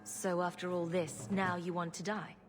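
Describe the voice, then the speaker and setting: A woman's voice: fairly low pitch, flat delivery, moderate pace, over music, natural voice. An actress playing a self-assured, defiant woman mage in a fantasy video game, speaking in a firm, challenging way. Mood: questioning.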